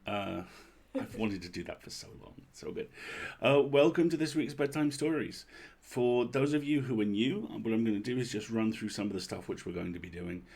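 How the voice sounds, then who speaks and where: A man's voice: fairly low pitch, moderate pace, gravelly, a little mellow, slightly rough. A man hosting a livestream in casual chat, talking in an informal, welcoming and chatty way. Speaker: livestream host and story reader.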